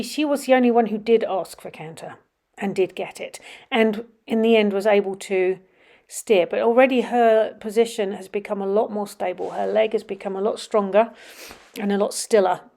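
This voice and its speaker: A middle-aged woman with a slightly sniffly, runny nose, casually commenting over videos of her children riding, with frequent ums and some quiet murmured asides. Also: mid-range voice, normal speed, vocal fry. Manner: chatty, many ums, drops to quiet murmurs while watching. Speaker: vlogger.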